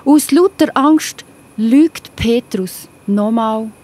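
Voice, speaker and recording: Higher-voiced Swiss German woman telling stories. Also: slow pace. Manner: expressive, addresses child listeners directly. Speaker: storyteller. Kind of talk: scripted children's Bible story narration.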